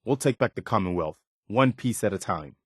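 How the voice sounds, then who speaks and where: A man's voice: fairly low pitch, lively intonation, normal speed, husky, no echo. A man playing an earnest, loyal militia officer in a video game, speaking sincerely and plainly with a sense of duty. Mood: earnest, steady. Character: earnest, steadfast militia officer, loyal adult male companion. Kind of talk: acted video game dialogue.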